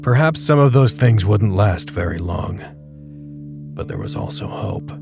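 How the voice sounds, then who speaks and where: A man narrating a literary drama podcast as a solemn, reflective storyteller, speaking in a deliberate way. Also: on the low side, animated. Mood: quietly hopeful. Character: solemn, reflective male storyteller of a bleak tale. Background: music with guitar.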